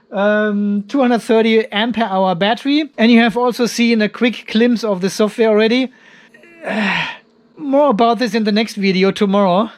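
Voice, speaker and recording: A man with a high, light voice talks casually to camera while reviewing equipment. He thinks aloud, restarts sentences and corrects himself as he goes. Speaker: channel host reviewing a home battery. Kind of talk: casual unscripted vlog.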